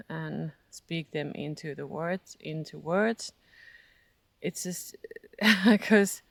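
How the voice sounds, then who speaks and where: Slow finnish-accented vlogger, gravelly voice, keeps pausing for words, dry close sound. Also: medium pitch, no room sound. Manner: hesitant, searching for words, laughs softly often. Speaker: spiritual vlogger. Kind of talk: casual reflective monologue.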